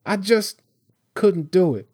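A man's voice: sits high, expressive, slow pace, a little mellow, husky, no room sound, studio quality, pitched above the performer's own voice, full character voice. A man voicing a nervous, defensive teenage boy in an audiobook, blurting slangy protests.

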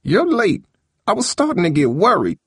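A man's voice: high-pitched, huge pitch swings, moderate pace, husky, no echo, studio quality, pitched above the performer's own voice, full character voice. A cross old grandmother from an audiobook, played by a man. The voice sits far above his natural register and scolds with anxious worry. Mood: angry, worried. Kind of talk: audiobook character dialogue.

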